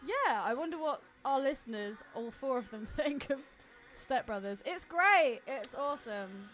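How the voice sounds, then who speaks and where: A woman's voice: high voice, lively intonation, measured pace, fairly bright tone. A woman co-hosting a podcast in casual, teasing, giggly banter. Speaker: podcast co-host.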